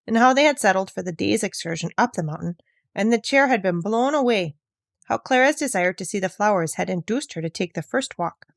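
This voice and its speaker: A woman's voice: medium pitch, dry recording, clean studio sound. A woman narrating a children's classic aloud as a scripted reading, with careful storytelling phrasing. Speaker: narrator, reader.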